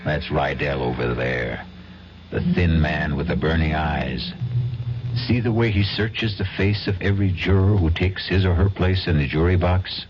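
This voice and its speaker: Deep-voiced man narrating a radio mystery. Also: moderate pace, background noise. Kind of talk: scripted radio drama narration. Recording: muffled old radio recording with music.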